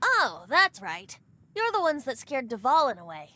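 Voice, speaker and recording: A boyish young bard from a video game, light and airy. The voice sits high and lilts widely, with an unhurried delivery. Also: female voice, huge pitch swings, sharp tone, slight background noise, exaggerated voice. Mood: surprised, musing.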